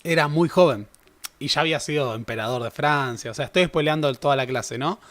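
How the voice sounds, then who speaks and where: A young man teaches history in a relaxed, chatty way. His voice sits somewhat high for a man. He often stops to correct himself and drops in jokes as he goes. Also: brisk. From Argentina. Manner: conversational, self-correcting with joking asides. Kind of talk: casual history lecture.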